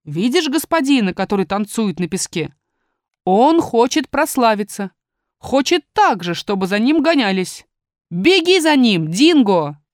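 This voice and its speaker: A woman's voice: fairly high pitch, sing-song, normal speed, no echo, studio quality. A woman voicing a big, commanding, abrupt male god in a storybook reading. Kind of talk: storybook character voice.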